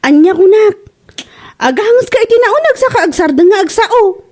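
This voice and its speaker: Woman acting a very high-voiced Ilocano daughter. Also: lively intonation, normal speed, a little mellow. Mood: concerned. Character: concerned, assertive grown daughter in a quarrelling family. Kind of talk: radio-style comedy drama acting.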